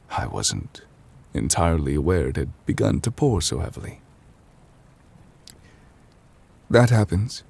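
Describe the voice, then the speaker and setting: A man playing an ancient, weary chief judge in an intimate ASMR roleplay, formal and gentle, speaking in measured, hesitant phrases. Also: heavily acted. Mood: troubled, pensive. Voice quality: husky, breathy low voice. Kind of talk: intimate ASMR audio roleplay, slow dramatic monologue.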